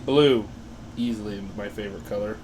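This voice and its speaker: A young man with a loud voice, co-hosting a casual podcast, joking, laughing and reading quiz questions aloud. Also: lowish voice, normal speed, slight background noise. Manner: joking, playful, laughs often. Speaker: podcast co-host.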